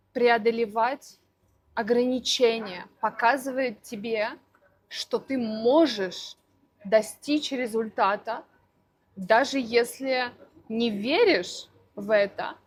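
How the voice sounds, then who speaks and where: A woman language teacher answering interview questions for learners, speaking slowly and deliberately with careful articulation. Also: fairly high pitch. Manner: slow, deliberate, clearly articulated.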